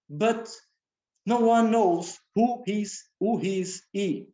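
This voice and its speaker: Male guest lecturer, very measured pace, halting second-language English. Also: high voice, darkish tone, low-quality recording. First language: Portuguese. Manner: halting, non-native English with repeated phrases. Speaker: guest speaker. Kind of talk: religious community lecture.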